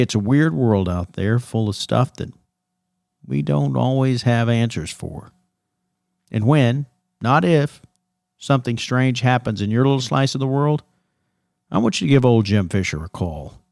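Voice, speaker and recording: Creaky late-night paranormal radio host. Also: male voice, mid-range voice, lively intonation, normal speed, darkish tone, no room sound, clean studio sound. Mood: smooth, reassuring. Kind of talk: 1990s late-night radio show acting.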